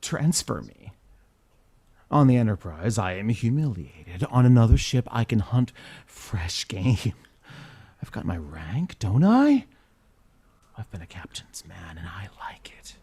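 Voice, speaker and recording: Man playing a breathy, seductive starship consort. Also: lowish voice, sing-song, dark tone, exaggerated voice. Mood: taunting, bitter. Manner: breathy, halting, sultry and theatrical. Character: seductive, wounded male consort of a starship captain. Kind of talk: gender-swapped science fiction audio drama acting.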